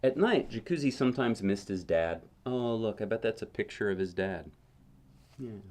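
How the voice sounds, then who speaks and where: A man's voice: fairly low pitch, animated, normal speed, a little mellow. A man reading a children's picture book aloud as a parent would, conversationally, with casual asides and questions to the listener. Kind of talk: storybook read-aloud with chatty asides.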